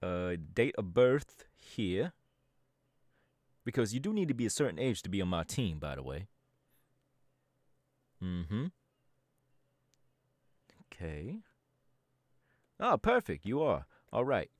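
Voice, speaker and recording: A man's voice: lowish voice, very animated, slow, long pauses, no echo, studio quality. A man voice acting a laid-back young male DJ gang boss in an ASMR audio roleplay, talking in an easygoing, chatty drawl. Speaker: voice actor.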